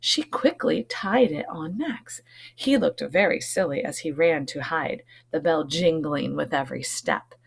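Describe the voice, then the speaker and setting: A woman's voice: sits low, lively intonation, unhurried, vocal fry, breathy edge. A woman teacher reading a storybook aloud to young children, expressively, with explanatory asides.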